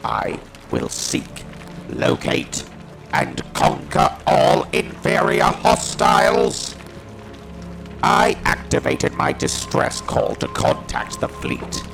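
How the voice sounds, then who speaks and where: A man playing a cold, proud, fanatical war machine in an audio drama, speaking in halting, declamatory bursts with a harsh electronic modulation. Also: high-pitched, vocal fry, over loud music, exaggerated voice, rough recording. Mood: grim, determined. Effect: robotic voice modulation. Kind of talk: dramatic first-person narration in audio drama.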